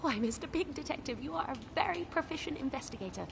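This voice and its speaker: A woman's voice: high voice, lively intonation, fast, vocal fry, noisy background. A woman playing a polite, formal lady client in a detective game. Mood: courteous. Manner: formal, courteous. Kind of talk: video game character acting.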